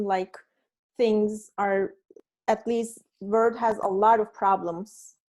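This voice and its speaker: A woman who grew up in Turkey hosts a relaxed talk, speaking slowly. She pauses often and restarts her sentences as she searches for words. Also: mid-range voice. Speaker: host. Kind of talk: casual conversation.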